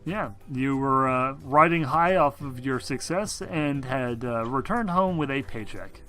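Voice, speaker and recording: A man acting as game master, narrating a tabletop role-playing game in an informal, halting way. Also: mid-range voice, a bit bright. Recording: music behind the voice.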